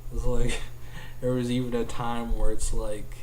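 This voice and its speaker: Young man rambling, flat and mellow. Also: mid-range voice, normal speed, slight background noise, rough recording. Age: young adult. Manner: rambling, heavy use of "like", restarts often. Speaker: vlogger. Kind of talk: casual talk to camera. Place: small room at home, indoors. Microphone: webcam at desk distance.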